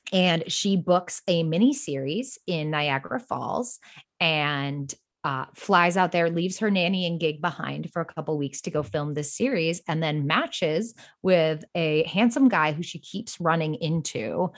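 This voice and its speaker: A woman's voice: low-pitched, unhurried, a bit bright. A woman speaking casually as a podcast guest, talking as a writer would in an interview.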